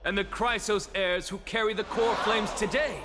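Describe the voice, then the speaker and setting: An actor playing an arrogant heretic professor in a video game, declaiming with theatrical scorn. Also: male voice, sits high, steady tone, normal speed, noisy background, lightly acted. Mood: grand, proclaiming. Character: arrogant male scholar, theatrical heretic professor lecturing an assembly. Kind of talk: dramatic video game voice acting.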